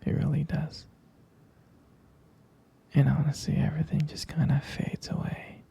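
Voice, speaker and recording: Slow, breathy whispering boyfriend played by a man. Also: fairly high pitch, flat delivery, mellow. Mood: adoring. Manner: slow, doting, lingering over words. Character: tender, devoted boyfriend comforting his pregnant partner. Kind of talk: boyfriend ASMR roleplay, intimate and soothing.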